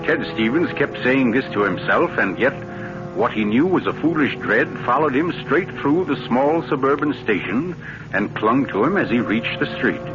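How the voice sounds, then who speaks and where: A man acts a brooding author telling a suspense story with measured, dramatic dread. It is old-time radio narration, with music playing clearly behind a slightly muffled voice. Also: mid-range voice, animated, natural voice. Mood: ominous. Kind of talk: 1940s radio thriller narration. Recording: dull old radio broadcast recording.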